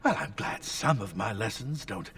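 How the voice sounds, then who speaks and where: An actor playing a stern, wry old tutor and scholar in a fantasy video game. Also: male voice, lowish voice, animated, moderate pace, gravelly, fairly bright tone.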